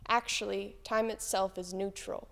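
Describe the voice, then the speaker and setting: A woman's voice: mid-range voice, flat delivery, moderate pace, gravelly, fairly bright tone. A woman, a church member, reading quotations aloud in a solemn, scripted way. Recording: long hall reverb.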